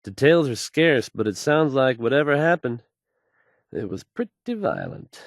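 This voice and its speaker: An actor playing a confident, smooth-talking radio DJ in a game, delivering fluent and assured announcements. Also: male voice, medium pitch, sing-song, a little slow, dry recording, clean studio sound. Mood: intrigued.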